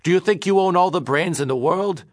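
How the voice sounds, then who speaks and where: Guy doing a loud boorish meat man, mocking and brash, dry close sound. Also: low voice, very animated, normal speed, no room sound. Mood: angry. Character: blustering bigoted male meat man, middle-aged.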